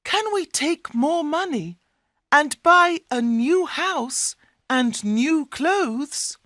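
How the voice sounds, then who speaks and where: Narrator as eager high-pitched storybook wife, woman. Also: expressive, very slow, a bit bright, no room sound, studio quality, heavily acted. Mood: delighted. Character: Nasreen, excited eager wife in fairy tale. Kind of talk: storybook character voice.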